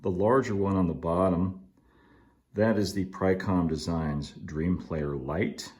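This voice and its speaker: A middle-aged man giving a casual, relaxed hands-on tutorial, halting a little as he works in an indoor workshop. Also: sits low, roomy sound. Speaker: host of model railroad channel.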